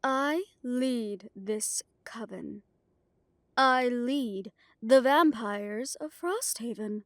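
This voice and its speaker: Audio drama role: commanding female vampire leader acted by a woman, high-pitched and imperious, recorded dry and close. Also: no echo. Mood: cold anger. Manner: slow, deliberate, imperious speech.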